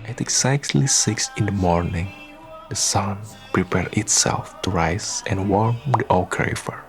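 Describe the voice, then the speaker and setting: Radio drama narrator, male: low, creaky voice, measured scripted storytelling over faint music.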